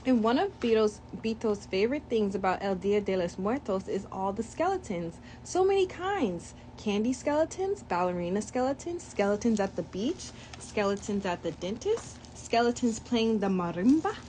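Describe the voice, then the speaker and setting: Lively woman reading a picture book. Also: mid-range voice, moderate pace, hardly any pauses, slight background noise, poor audio. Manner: reads with lively asides and commentary. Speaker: storybook reader. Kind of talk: children's picture book read-aloud.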